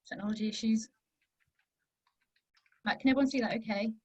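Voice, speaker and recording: A woman presents her research over a video call, her voice hoarse and croaky and set a little high. She talks in an easy, lecturing way, leaning on "um" as she goes, and the sound is close and dry. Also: slow, a little mellow, no room sound, muffled recording. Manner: conversational lecturing with frequent "um" fillers. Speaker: invited academic speaker.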